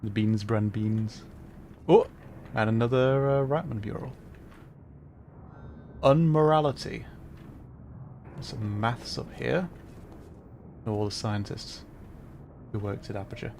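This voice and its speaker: A man's voice: lowish voice, slow, creaky, long pauses, a little mellow, a little hiss or hum. A young adult man chatting casually while streaming a game, rambling and correcting himself, with frequent laughs.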